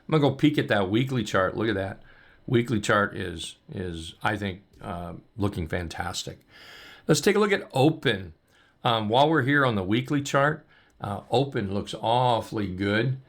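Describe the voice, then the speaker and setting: A man coaching on trading, talking loosely and upbeat with many ums and restarts as he walks through charts, his voice going hoarse. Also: fairly low pitch. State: losing his voice. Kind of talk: casual chart walkthrough.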